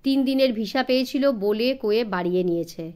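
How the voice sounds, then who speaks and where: Female audiobook narrator, fairly high voice, very animated pitch, dramatic scripted storytelling for a young audience. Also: a little fast, smooth.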